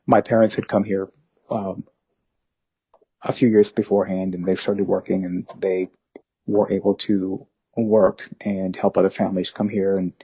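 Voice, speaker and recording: Low dark-voiced man in his fifties. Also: little pitch movement, moderate pace, husky, no echo, rough recording. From New York, family from Honduras. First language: Spanish. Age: 50s. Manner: rambling, many fillers and restarts. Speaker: guest. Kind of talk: casual interview conversation.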